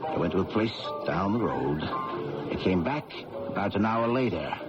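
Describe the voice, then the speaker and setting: A man's voice: low-pitched, animated, unhurried. An actor playing a roguish, hard-drinking man in a radio drama, breezy, wry and unrepentant about being caught as an impostor. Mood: confessional. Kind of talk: old-time radio drama acting. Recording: dull radio broadcast with loud music.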